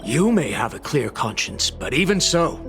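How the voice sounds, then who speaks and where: An actor playing a blunt, formal dragon-kin official in a video game, admonishing in a declamatory way over music. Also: male voice, medium pitch, big pitch swings, moderate pace. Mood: stern, admonishing.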